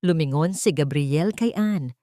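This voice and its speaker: Female audio drama narrator, low register, dramatic scripted delivery, dry studio-style sound. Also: unhurried, mellow, dry recording.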